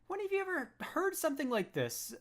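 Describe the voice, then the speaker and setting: A woman speaks in a defensive, flustered way, backpedalling and stammering. Her pitch moves a lot. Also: wildly swooping pitch, fairly quick.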